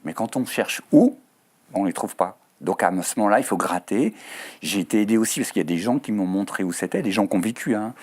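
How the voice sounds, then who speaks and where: A man with a Swiss French accent answers questions as an author in a televised interview. His voice sits fairly low. He talks in a thoughtful way, pausing and filling gaps as he goes. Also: animated, a little mellow. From Switzerland. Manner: thoughtful, hesitant with frequent fillers. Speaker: author guest. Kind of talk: TV studio interview.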